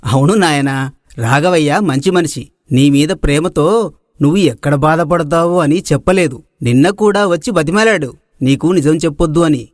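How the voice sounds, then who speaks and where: A man's voice: a little high, moderate pace, no echo, clean studio sound, natural voice. A man playing a kindly, indulgent older village landowner in a dramatized moral story. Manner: indulgent, genial.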